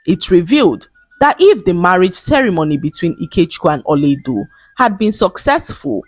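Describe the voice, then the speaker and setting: A woman reading a scripted folktale as a storyteller, in a dramatic and expressive manner. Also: on the low side, breathy edge, dry recording. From Nigeria.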